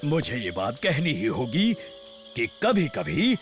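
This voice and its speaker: A man's voice: mid-range voice, wildly swooping pitch, slow. A man voicing a fussy, protective, fatherly bear who scolds expressively in a Hindi cartoon dub. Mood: grudging, fond. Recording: music behind.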